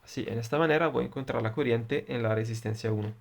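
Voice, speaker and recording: A man's voice: mid-range voice, gravelly, a little mellow. Italian man tutoring in careful Spanish. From Italy. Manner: deliberate, halting, many fillers. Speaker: electronics tutor. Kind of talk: explaining circuits at a whiteboard.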